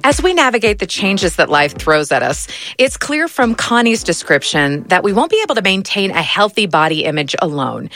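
A woman hosting a podcast, narrating a scripted story in a measured, earnest way. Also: fairly low pitch, a bit bright.

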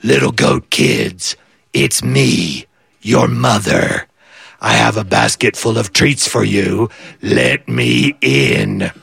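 A sly hungry big bad wolf. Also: male voice, big pitch swings, creaky, fairly bright tone, husky, no echo, studio quality, full character voice. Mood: gruff wheedling. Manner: growls low and slow, then fakes a squeaky sweet voice. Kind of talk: live storytelling.

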